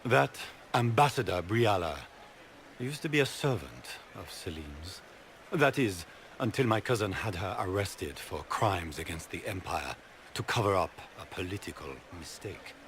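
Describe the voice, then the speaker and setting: An actor playing a scheming, courtly grand duke with a French accent in a theatrical game cutscene. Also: male voice, low voice, lively intonation, a little slow, a bit bright, slight background noise, exaggerated voice. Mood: suspicious, scornful. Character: smooth, scheming aristocratic grand duke claiming a throne. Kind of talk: video game cutscene acting.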